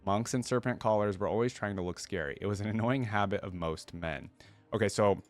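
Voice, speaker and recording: A man's voice: fairly low pitch, a little fast, soft background music. A man hosting a reaction video, reading a story aloud and adding casual, conversational commentary.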